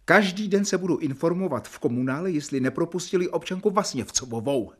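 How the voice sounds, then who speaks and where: A man's voice: a little high, animated. A man playing a stern, protective doctor in a radio play, resolute and commanding. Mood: menacing. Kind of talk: Czech radio play acting.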